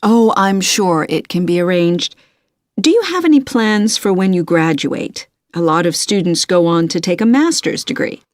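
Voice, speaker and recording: A woman playing a courteous, methodical university tutor in a scripted listening-test dialogue, measured and encouraging in her advice. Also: fairly low pitch, lively intonation, dry recording, clean studio sound, lightly acted. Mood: courteous, advisory.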